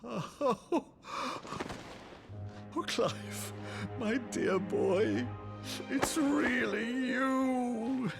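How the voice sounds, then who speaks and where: An actor playing an older nobleman, a blustering but warm-hearted uncle, in a theatrical, grandiloquent video game performance. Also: very high voice, animated, very slow, vocal fry, pauses often, over music, exaggerated voice. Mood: moved, overjoyed. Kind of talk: dramatic video game acting.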